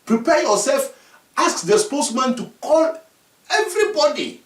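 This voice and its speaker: A man's voice: very high voice, big pitch swings, normal speed, roomy sound, far from the mic, voice pushed up. A man doing a stern river spirit. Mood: commanding. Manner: stern, scolding, issuing orders. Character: commanding river spirit, a hidden youth posing.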